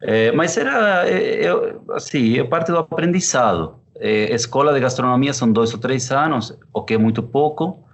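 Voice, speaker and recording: A man in his thirties from Argentina, a chef, answering interview questions with an Argentine Spanish accent, unhurried and thoughtful with frequent fillers. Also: mid-range voice, lively intonation. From Córdoba, Argentina. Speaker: chef and pizzeria partner.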